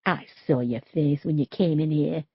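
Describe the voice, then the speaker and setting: A woman's voice: low voice, moderate pace, husky, no echo, muffled recording, pitched below the performer's own voice. A woman reading the lines of a grieving, bitter working-class young man who swears and talks in a confrontational way. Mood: bitter, angry.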